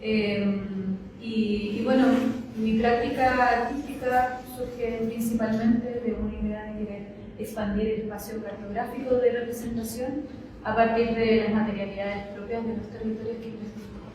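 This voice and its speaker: A woman from Chile with a Chilean accent, introducing herself as an artist on a panel with quick, filler-laden speech. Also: fairly high pitch. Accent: Chilean Spanish. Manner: quick, flat delivery with fillers. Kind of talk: brief self-introduction. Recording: echoey room, far from mic, clear noise.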